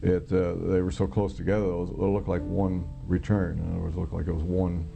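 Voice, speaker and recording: A middle-aged or older American man recounting a personal experience in an interview, halting, plainspoken and matter-of-fact, as a former serviceman would. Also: sits low, level tone, vocal fry. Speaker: interviewee, former Air Force air traffic controller. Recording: guitar music playing behind the voice. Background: plucked guitar music.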